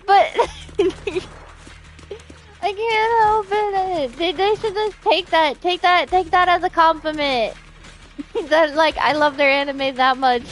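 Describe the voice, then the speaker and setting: High-voiced, excitable woman streamer. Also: slow pace, a little hiss or hum. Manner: giggly, excitable. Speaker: game streamer. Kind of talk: casual live-stream chat, reading out comments.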